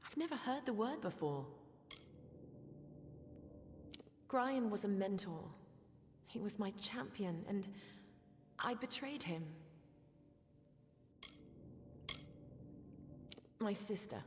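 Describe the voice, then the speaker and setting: A woman's voice: medium pitch, animated, moderate pace, a little hiss or hum, lightly acted. Woman playing an earnest, guilt-ridden soldier in echoing hall. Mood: anguished. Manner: earnest, hesitant, subdued. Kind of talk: video game character acting. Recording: long reverb, music behind.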